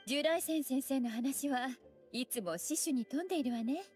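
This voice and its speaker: A woman voicing a graceful, teasing young scholar mage in an anime game, with elegant, playful, unhurried phrasing. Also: high-pitched, darkish tone, close to an everyday voice. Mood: playful, pleased. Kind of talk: Japanese anime game voice acting. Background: music.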